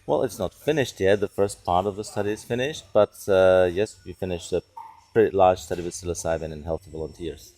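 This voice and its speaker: A middle-aged man with a Czech accent, a non-native English speaker, answering interview questions conversationally and haltingly as he searches for words. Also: low-pitched, warm tone. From Czech Republic. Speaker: psychiatrist and neuroscience researcher, interview guest.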